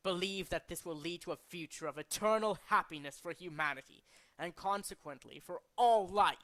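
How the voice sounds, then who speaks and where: A man's voice: on the high side, a bit bright. A man voicing a condescending, cultured and fanatical villain leader from a video game, speaking in a formal, theatrical way. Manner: formal, pompous, theatrical, deliberate.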